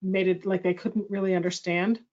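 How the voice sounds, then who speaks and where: A woman's voice: fairly low pitch, fairly even intonation, a little fast. Reflective woman leading a workshop talk. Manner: thoughtful, reflective, self-correcting. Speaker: workshop host. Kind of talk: presentation dialogue. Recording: slightly muffled audio.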